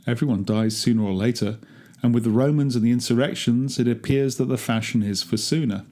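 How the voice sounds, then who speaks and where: Guy playing a grumbly old-world graveyard gardener, dry jokes, chatty story monologue, soft mellow voice. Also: mid-range voice, brisk, close to an everyday voice. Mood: wry grumbling. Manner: dry, chatty, darkly humorous. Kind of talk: first-person dramatic monologue, read aloud.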